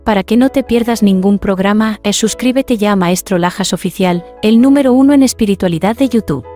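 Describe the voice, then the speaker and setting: Woman doing a channel promo voiceover, warm mellow tone, soft music under it. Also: mid-range voice, a little fast. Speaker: channel announcer. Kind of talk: promotional voice-over. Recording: voice-over with music behind.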